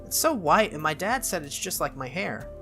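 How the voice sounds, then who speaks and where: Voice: mid-range voice, sing-song, normal speed, sharp tone, soft background music. A performer voicing a cheerful, childish young noble girl from a visual novel, eager, innocent and a little petulant. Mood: innocent, happy.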